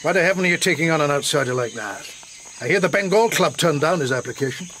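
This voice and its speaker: An actor playing a hearty, clubbable army captain and horse vet in a radio drama. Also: male voice, on the high side, animated, quick pace, a bit bright. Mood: brisk. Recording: noisy outdoor ambience with insects.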